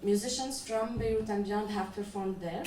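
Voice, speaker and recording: A woman festival organizer speaks on a conference panel in a measured, halting way, stopping often on "uh." Her pitch sits in the middle range. She is recorded from a distance in an echoey hall with faint noise behind her. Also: steady tone, mellow. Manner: measured, halting, frequent "uh" restarts.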